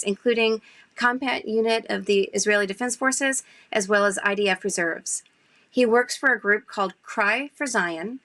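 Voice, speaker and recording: A woman's voice: mid-range voice, fairly bright tone. A woman from Arkansas hosting a podcast with an upbeat, enthusiastic delivery. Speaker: podcast host. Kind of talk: scripted intro and friendly interviewing.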